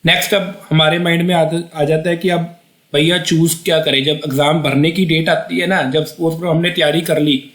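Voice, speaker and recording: A man's voice: fairly high pitch, fairly bright tone. A young man giving an exam-prep video lesson in a chatty, repetitive way, often restarting sentences and checking in with the viewer. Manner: chatty, repeats himself, restarts sentences, frequent "theek hai ji". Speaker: IELTS tutor. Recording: echoey room.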